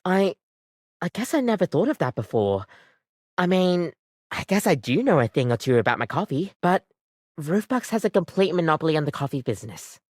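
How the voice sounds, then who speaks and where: A woman voicing a living coffee maker in a video game, an earnest, bubbly, chatty barista with an expressive, rambling way of talking. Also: lowish voice, no echo, studio quality. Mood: hesitant, hopeful. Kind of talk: scripted video game voice acting.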